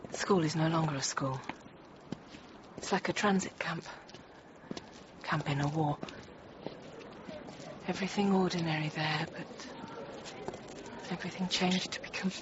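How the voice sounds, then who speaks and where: An actress playing an anxious, tender thirty-year-old infant teacher, confiding her account in halting, reflective phrases in a radio drama. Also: sits low. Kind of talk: radio drama monologue, intimate first-person narration. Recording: dull radio sound with faint noise.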